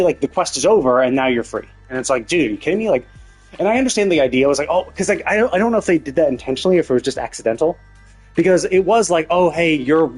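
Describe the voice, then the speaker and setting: Rambling male game streamer over music. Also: fairly high pitch. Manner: rambling, conversational, casually profane. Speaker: live streamer. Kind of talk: casual gaming livestream chat.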